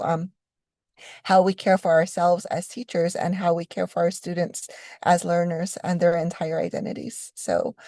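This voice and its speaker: Lowish-voiced woman from new mexico doing a keynote talk, slow and thoughtful, lots of ums, pretty dry close sound. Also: mellow, no room sound. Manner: unhurried, reflective, many ums and restarts. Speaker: keynote speaker, professor.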